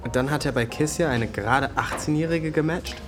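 A woman's voice: sits low, fairly quick, music in the background, natural voice. A woman playing a sardonic, streetwise teenage friend in a radio drama, speaking in dry, mocking slang. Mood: sarcastic. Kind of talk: naturalistic German radio drama dialogue.

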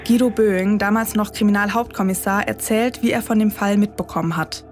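A woman hosting a true-crime podcast, reading scripted narration in short, measured phrases. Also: mid-range voice, fairly even intonation. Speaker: podcast host. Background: music bed.

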